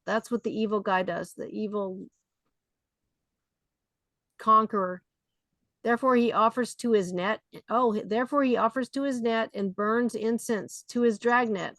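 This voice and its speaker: A woman's voice: a little high, dry recording. A woman leading a study group, reading scripture aloud and explaining it conversationally. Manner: conversational, with fillers between readings.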